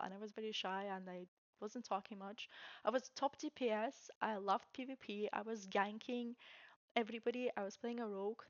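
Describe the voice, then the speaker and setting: A woman's voice: mid-range voice, no echo, muffled recording. A young adult woman from Estonia with an Estonian accent, telling her own story casually in a candid, self-deprecating way. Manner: candid, self-deprecating, chuckles while talking.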